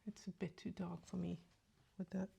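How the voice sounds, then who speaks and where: Gravelly-voiced woman with a slight cold. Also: fairly low pitch, little pitch movement, normal speed, background noise. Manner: soft, often half-whispered asides while applying makeup. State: slight cold, sore throat. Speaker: beauty vlogger. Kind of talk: casual makeup tutorial chat. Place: at home in front of a mirror.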